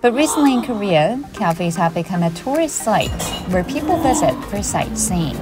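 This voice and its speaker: A woman with a mid-pitched voice reads scripted voice-over for a travel feature in a calm, even manner. Background music runs under her narration. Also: moderate pace.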